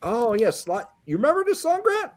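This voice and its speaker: A man's voice: extremely high pitch, wildly swooping pitch, moderate pace. A man in his fifties, a panelist on a casual livestream music chat, enthusiastic and jokey. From Pennsylvania. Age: 50s.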